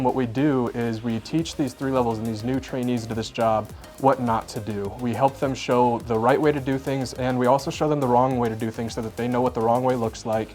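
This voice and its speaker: A man's voice: mid-range voice, darkish tone. A young American man giving an informal, explanatory tour as an air traffic controller, speaking in a plain, conversational way.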